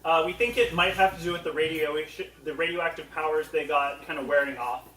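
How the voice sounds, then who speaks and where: A man's voice: on the high side, a little fast, pushed and bright. A man co-presenting a casual comedy panel to a live audience with mock-serious sarcasm, recorded at a distance in a roomy space. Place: convention panel room. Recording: roomy echo, picked up from a distance.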